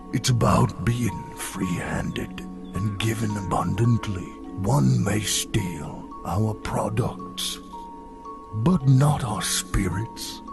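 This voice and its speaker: A man playing a gentle giant living snowman in an audio storybook, a kindly mentor speaking in a solemn, avuncular way. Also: on the low side, gravelly. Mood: earnest, preaching. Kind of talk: audio storybook character acting. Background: music.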